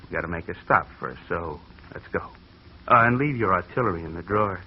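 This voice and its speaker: A man playing a smug, sly gunman who threatens in a smooth voice in an old radio drama. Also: low-pitched, animated, brisk. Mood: menacing. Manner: smooth and threatening, calls people laddie. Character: menacing gunman with slick orange hair, sly, smug. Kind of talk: 1940s radio acting. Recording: muffled old radio recording with hiss.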